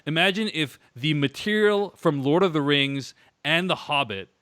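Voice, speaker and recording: Male podcast host, medium pitch, bright forceful voice, loose casual film talk, recorded dry. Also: animated, moderate pace, no echo. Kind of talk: casual film review discussion.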